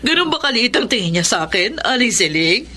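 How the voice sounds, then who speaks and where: A woman's voice: on the high side, sing-song, fairly quick, pushed and bright, full character voice. An actress playing an earnest, hardworking single mother in a radio drama. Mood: bitter. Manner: earnest, emotional.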